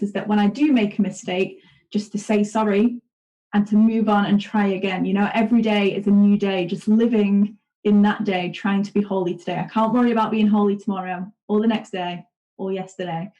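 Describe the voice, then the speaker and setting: A woman's voice: medium pitch, poor audio. A woman co-hosting a casual online faith chat, talking conversationally with plenty of "like" and "you know". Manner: warm conversational with fillers. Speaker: co-host.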